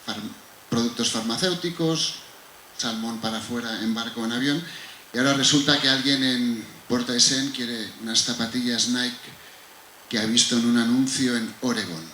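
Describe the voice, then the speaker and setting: A man's voice: medium pitch, fairly even intonation, moderate pace, sharp tone. A man from Spain with a Spanish accent, answering a question on a conference panel in a conversational, discursive way. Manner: conversational, discursive, with rhetorical asides. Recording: echoey room with faint noise.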